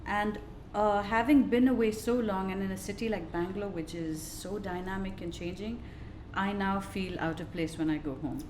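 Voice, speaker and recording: A woman's voice: medium pitch, steady tone, moderate pace, a little mellow, slight background noise. A woman in her forties from India, a guest on a podcast, chatting and laughing often. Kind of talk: podcast interview. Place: room in Dubai. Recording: roomy echo.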